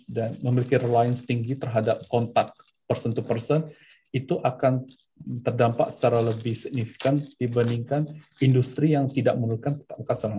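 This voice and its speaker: A middle-aged man answering questions as a webinar speaker, explaining in a measured way and mixing in English business terms. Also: mid-range voice, dark tone. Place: indoor room, on a video call. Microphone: computer mic on video call.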